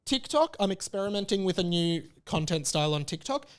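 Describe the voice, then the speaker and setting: A man's voice: sits high, big pitch swings, a little fast, clear, resonant tone. A man hosting a podcast, chatting casually and rambling with lots of fillers and restarts. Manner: rambling, self-interrupting, many fillers. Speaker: podcast host.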